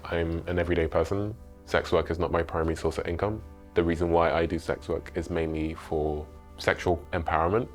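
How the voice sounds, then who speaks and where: A man speaking in a measured, unhurried way in a documentary interview, with some "you know" fillers. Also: low-pitched, level tone, soft background music.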